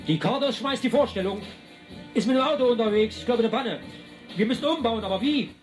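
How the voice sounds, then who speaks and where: High-pitched, barking circus boss man. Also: lively intonation, brisk. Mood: worried. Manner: barking short orders. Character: brisk, harried circus man bossing the performers about. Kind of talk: 1980s German radio drama acting. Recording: radio play, music behind.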